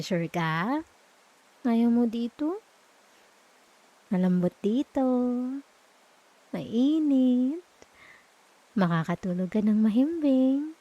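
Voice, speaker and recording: A woman with a Filipino accent playing a sweet, teasing, comforting girlfriend in a soft, intimate ASMR roleplay. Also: fairly high pitch, lively intonation, extremely slow, long pauses, no room sound, lo-fi sound, full character voice. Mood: playful teasing. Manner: soft, tender, playful, soothing.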